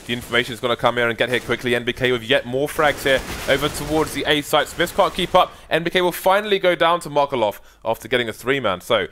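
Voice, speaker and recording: A man commentating on a competitive video game match, measured and analytical, with playful banter. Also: mid-range voice, sharp tone. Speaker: esports caster. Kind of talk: color commentary.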